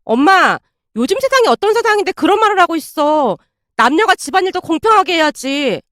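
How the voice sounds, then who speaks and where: A woman narrator puts on a selfish young wife from a domestic story. She snaps and whines defiantly, in a high, irritable voice with a dry, close sound. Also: big pitch swings, brisk, fairly bright tone, no echo. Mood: defiant protest. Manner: snappy, whiny protest.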